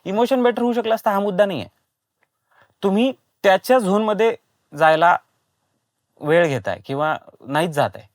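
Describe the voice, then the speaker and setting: A man's voice: high voice, expressive, moderate pace, long pauses, no echo, studio quality. A man explaining his craft conversationally in an interview, emphatic, repeating phrases for stress and mixing in English words. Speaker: guest, voice and dubbing actor.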